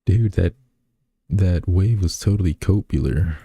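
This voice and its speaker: A man voicing a laid-back young male surfer dude who drawls his slang, a little higher than his usual low voice. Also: a little slow, dark tone, husky, dry recording, studio quality, heavily acted.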